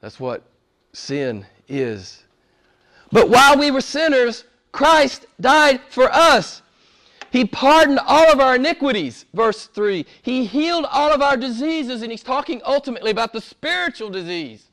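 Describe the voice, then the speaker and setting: A man preaching a sermon with fervor, hoarse from a cold and losing his voice, dropping to a hush and rising to shouts. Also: extremely high pitch, big pitch swings, unhurried. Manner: fervent, swings from hushed to shouting. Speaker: pastor.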